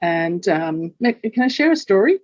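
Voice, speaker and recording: A woman's voice: on the low side, very animated, moderate pace, darkish tone, dry recording. Brief: older English woman with a London accent, reflective guest storyteller, dull dry remote line. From England. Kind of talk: candid personal storytelling.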